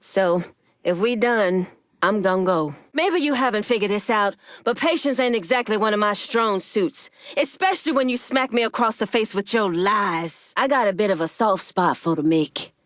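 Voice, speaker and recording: A woman's voice: medium pitch, lively intonation, moderate pace, no echo, studio quality, natural voice. An actress playing a tough, shrewd Haitian woman gang boss in a video game, blunt, streetwise and commanding. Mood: firm, commanding, then angry, threatening.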